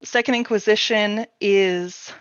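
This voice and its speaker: A woman's voice: medium pitch, steady tone, slow pace, smooth, dry recording, highs cut off. A woman hosting a casual livestream workshop, speaking informally and hesitantly with plenty of filler "like"s. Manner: informal, hesitant phrasing with many "like"s. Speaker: host of a publisher's livestream workshop. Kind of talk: casual workshop chat, reading viewer questions.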